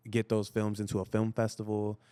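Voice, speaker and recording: A man's voice: lowish voice, completely flat delivery, fairly quick, mellow, clear, resonant tone. A man whose first language is Spanish, an actor chatting easily as a podcast guest with many "you know" fillers. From United States. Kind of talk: casual interview chat.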